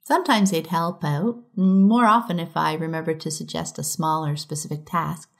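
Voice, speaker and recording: A woman's voice: low voice, fairly even intonation, moderate pace, creaky. Woman reading a prepared talk. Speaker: podcast host giving a conference talk. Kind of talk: read-aloud prepared talk.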